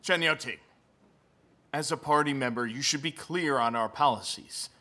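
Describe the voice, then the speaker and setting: A man's voice: medium pitch, normal speed, pushed and bright, close to an everyday voice. A man playing a menacing, domineering police chief in a dubbed stage drama, commanding and interrogating. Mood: stern, threatening.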